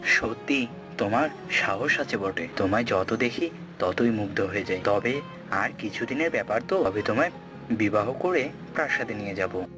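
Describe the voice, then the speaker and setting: A man's voice: on the low side, lively intonation, moderate pace, lightly acted. A man voicing a courteous, affectionate young prince in a cartoon. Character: gentle young prince in love. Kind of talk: cartoon character acting. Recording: clear music behind the voice.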